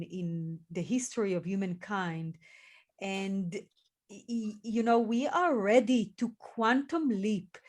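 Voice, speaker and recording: Woman guest, slow and halting, searching for words; healer type. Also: medium pitch. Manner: searching, hesitant, halting with fillers. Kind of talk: spiritual conversation.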